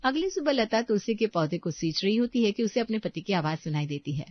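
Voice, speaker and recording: A woman's voice: medium pitch, animated, brisk, dry recording. A woman narrates a domestic story from a script in a mid-range voice. The recording is very dry and slightly muffled.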